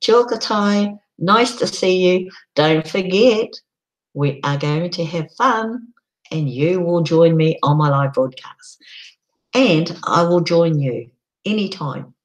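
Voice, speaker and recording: A middle-aged woman talks slowly and casually on her own live stream, her voice croaky. She is chatty and unhurried, often pausing on an um before carrying on. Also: on the low side, animated, poor audio. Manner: slow, chatty, frequent ums.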